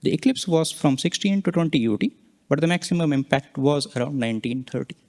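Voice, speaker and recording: A man giving a scientific conference presentation, explaining slides and data in halting, explanatory phrases. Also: fairly high pitch, animated, darkish tone. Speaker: researcher presenting a conference talk.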